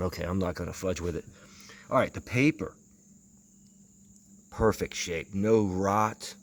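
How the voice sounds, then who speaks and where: Low-voiced, gravelly man thinking aloud. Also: slight background noise. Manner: rambling, hesitant, muttering to himself. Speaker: vintage audio enthusiast presenting a video. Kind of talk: unscripted hands-on inspection commentary.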